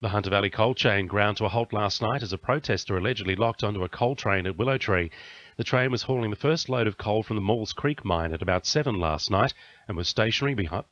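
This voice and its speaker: A man with an Australian accent reads radio news from a script. His voice sits on the low side, and the audio is a little dull, with the top end trimmed. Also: fairly bright tone, no echo. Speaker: news reader.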